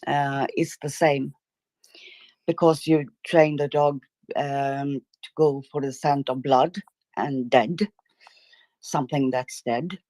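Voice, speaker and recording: Slow low Swedish-accented woman caller. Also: steady tone, a little mellow, dry recording. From northern Sweden. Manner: slow, careful, hesitant English. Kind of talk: casual chat.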